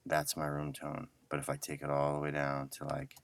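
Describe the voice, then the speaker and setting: A man's voice: rumbling low, completely flat delivery, fairly quick, creaky, very noisy. A man giving a casual audio editing tutorial, informally muttering to himself as he works. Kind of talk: casual screen-recorded software tutorial.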